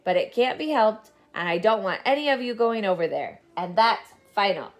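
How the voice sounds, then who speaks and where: A woman's voice: medium pitch, expressive, normal speed, close to an everyday voice. Woman as a weary, firm storybook mother. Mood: resigned, firm.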